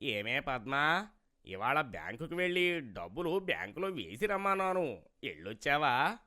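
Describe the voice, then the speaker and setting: A man's voice: medium pitch, big pitch swings, normal speed, pushed and bright. A man voicing a greedy, wheedling village vegetable seller in a cartoon moral story, with a plaintive manner. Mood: irritated. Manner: rustic, colloquial, plaintive way of talking.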